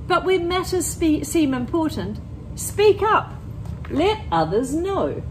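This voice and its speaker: A woman's voice: very high voice, sing-song, takes it slowly, a little mellow, slight background noise, poor audio. A middle-aged woman teacher explaining things to children in plain words and reading aloud expressively from a picture book. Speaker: primary school teacher.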